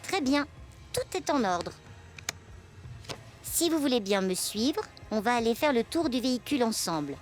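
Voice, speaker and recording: An actress playing a polite, accommodating rental-desk receptionist with a courteous customer-service manner in a French sci-fi audio comedy. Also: female voice, a little high, sing-song, normal speed, long pauses. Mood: polite, professional.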